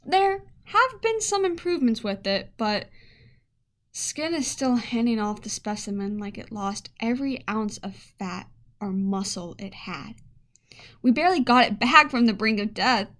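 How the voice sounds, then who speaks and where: A woman's voice: on the high side, lively intonation, a little slow, a bit bright. A woman playing a blunt, weary head scientist of forty, dictating an audio log in a radio play with wry, emphatic asides. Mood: fascinated, worried.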